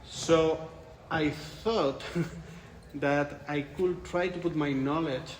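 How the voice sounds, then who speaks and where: A man's voice: a little high, steady tone, drawn-out words, a little hiss or hum. A man in his forties from Spain, speaking English with a Spanish accent, answering questions in a conference interview in a thoughtful way, often restarting his phrases. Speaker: interviewed researcher. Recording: echoey room with light ambience.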